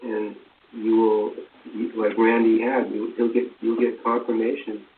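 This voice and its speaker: A man with a lowish voice speaks in a halting, earnest way, circling back over his words as in a spiritual talk. He sounds far from the mic on a thin phone-like line, with faint noise behind him. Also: level tone.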